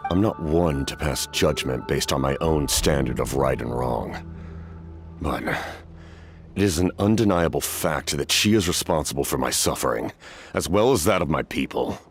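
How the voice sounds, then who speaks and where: An actor playing a solemn, weary, centuries-old masked commander in a video game, speaking formally and gravely in a measured way. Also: male voice, a bass voice, full character voice.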